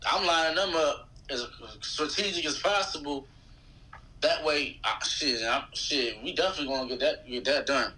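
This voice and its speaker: Bright, higher-voiced American man chatting casually. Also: normal speed, rough recording. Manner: slangy, repeats phrases for emphasis. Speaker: podcast guest, rapper. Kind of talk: casual chat.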